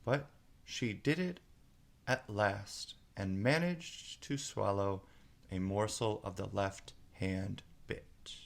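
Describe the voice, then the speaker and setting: A man's voice: lowish voice, slow pace, gravelly. A man narrating a storybook aloud as a storyteller.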